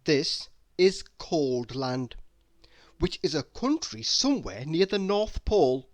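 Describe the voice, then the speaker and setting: A storyteller reading a children's book aloud in an expressive narrating style. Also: medium pitch, takes it slowly, dry recording.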